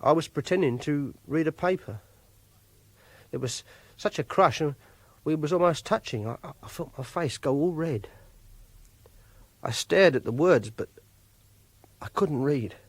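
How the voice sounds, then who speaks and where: Husky, awkward English clerk confiding obsessions. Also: male voice, fairly high pitch, expressive, no room sound. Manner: hesitant, halting, confiding. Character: obsessive, socially awkward lonely English clerk, self-pitying. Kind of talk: first-person radio drama monologue.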